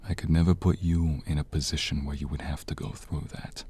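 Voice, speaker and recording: A man playing a tender, weary young mobster, a devoted and remorseful boyfriend, in a soft, intimate ASMR romance roleplay. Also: steady tone, slight background noise. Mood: solemn, remorseful. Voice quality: very deep, husky, breathy. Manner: soft, slow, intimate, sighing.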